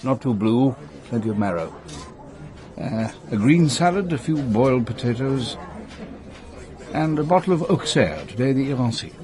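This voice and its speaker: An actor playing a courteous, solitary gentleman in a British radio drama, formal and precise, with a gently instructive manner. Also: medium pitch, animated, moderate pace, long pauses, natural voice.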